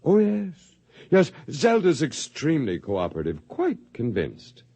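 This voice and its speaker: An actor playing a suave, condescending older scientist with formal, precise speech in a 1950s radio drama. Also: male voice, on the high side, huge pitch swings, measured pace, creaky, dark tone, slightly rough, dry recording, exaggerated voice. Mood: courteous, smug.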